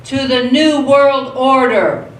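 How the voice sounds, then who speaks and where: A woman's voice: high-pitched, slow. A woman playing a power-hungry, self-assured council leader plotting in a live radio play. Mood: ceremonial. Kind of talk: live radio theatre acting. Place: theatre stage. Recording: echoey stage, distant mic, faint noise.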